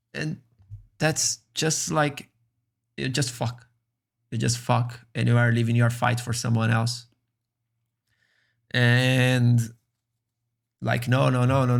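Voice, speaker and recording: A man whose first language is Brazilian Portuguese, speaking English earnestly and haltingly as a streamer reacting to a video. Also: medium pitch, creaky. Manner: earnest, halting, many restarts.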